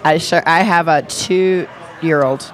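A woman's voice: low voice, slow pace, faint music behind, poor audio. A woman from New York City chatting as a guest in a café with dry, wry remarks. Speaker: guest comedian. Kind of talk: casual chat.

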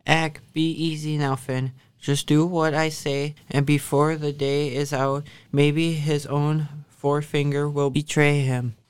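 A woman acting a shrewd, calm giant's wife in a radio drama, coaxing and unflappable. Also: sits low, a little slow, few pauses, clean tone. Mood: calmly commanding.

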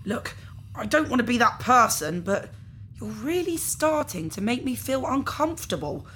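A woman's voice: fairly high pitch, sing-song, normal speed, fairly bright tone. A woman playing a casual, apologetic organ harvester in a science fiction audio drama, talking in an awkward, nonchalant way. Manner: nonchalant, hesitant, politely awkward.

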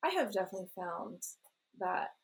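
A woman's voice: mid-range voice, very animated, normal speed, rough recording. A woman in her thirties, a parent and blogger, talking in a rambling, self-deprecating way and laughing often. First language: English. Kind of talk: relaxed conversational interview.

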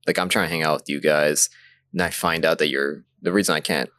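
A man's voice: very deep voice, steady tone, brisk, bright, forceful tone, no echo. A man co-hosting a podcast in casual, conversational chat. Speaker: podcast co-host.

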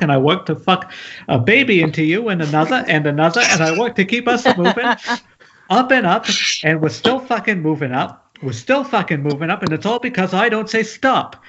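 A man acting a defensive husband and father hooked on his habits, with a fairly high voice. He is profane and defiant, in a table read over a dull, noisy internet call. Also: a bit bright. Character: defensive, addicted husband and father, successful writer. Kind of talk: amateur table read of a screenplay.

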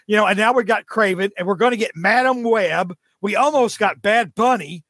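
A man's voice: high voice, moderate pace, fairly bright tone, dry recording. A middle-aged man co-hosting a podcast in casual chat, opinionated and prone to ranting. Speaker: podcast co-host reviewing comics.

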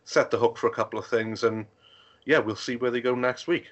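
A man's voice: medium pitch, fairly even intonation, a little fast. A man co-hosting a casual podcast in an easygoing way, steering the chat and wrapping it up. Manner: easygoing, steers the show with wrap-ups and sign-offs. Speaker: podcast co-host. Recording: dull lo-fi podcast audio.

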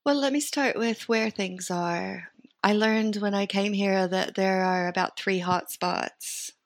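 A woman's voice: mid-range voice, takes it slowly, dry recording, studio quality. A middle-aged American woman chatting as an interview guest, talking freely and thinking aloud with fillers. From United States and Sweden. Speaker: interview guest, company founder. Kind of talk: casual conversational interview.

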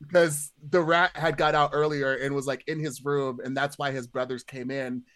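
A man chatting casually as a podcast panelist about a film, amused and halting, peppering his sentences with "like". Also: medium pitch, level tone, fairly bright tone, dry recording. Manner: halting, amused, heavy use of "like". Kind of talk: casual chat.

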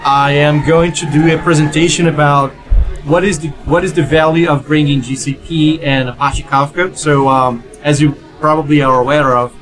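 A man's voice: fairly high pitch, steady tone, normal speed, a bit bright. Brazilian man, tech guest, lightly raised voice, music bed behind. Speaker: developer advocate guest. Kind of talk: casual panel chat.

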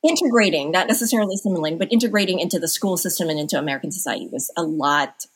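A middle-aged woman from the Philippines, a physician answering interview questions in a thoughtful, halting way. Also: fairly low pitch, slightly rough. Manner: thoughtful, halting restarts with occasional laughs. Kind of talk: conversational interview.

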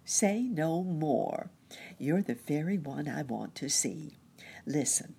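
A woman's voice: sits low, big pitch swings, takes it slowly, creaky, dark tone, a little hiss or hum, exaggerated voice. A woman voicing a caring muskrat lady nurse in a storybook, fussing in an anxious, earnest, sympathetic way.

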